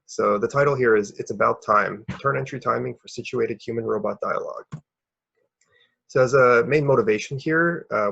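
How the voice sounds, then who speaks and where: A young man presents research in a lowish voice that is heavily creaky and very rough. He explains things informally and hesitantly, often repeating a word before going on. The recording is somewhat dull and lo-fi. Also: fairly bright tone. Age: young adult. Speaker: researcher presenting a conference paper.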